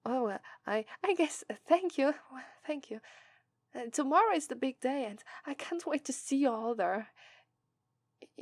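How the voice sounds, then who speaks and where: A cheerful young demon princess bride, voiced by a woman with an Italian accent and a high, sweet voice. She speaks slowly and fondly, breaking into giggles and flustered stammers. In an ASMR roleplay she sounds loving toward her partner. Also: dry recording, full character voice. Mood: flustered, polite. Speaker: voice actress.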